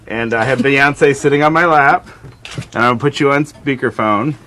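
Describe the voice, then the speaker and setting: Male music producer, mid pitch, casual phone-call chat, studio, faintly noisy amateur recording. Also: normal speed, sharp tone.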